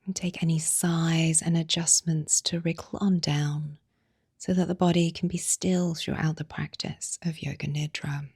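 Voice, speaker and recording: A woman guiding a yoga nidra meditation, speaking softly and soothingly in a breathy voice with long pauses between phrases. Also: deep voice, level tone, no echo, studio quality. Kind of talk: guided meditation narration.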